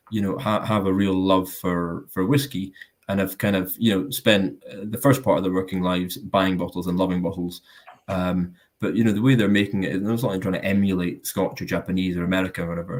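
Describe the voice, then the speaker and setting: A man hosting a live whisky tasting in a casual, self-deprecating way, often pausing with ums. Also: sits low, a little mellow.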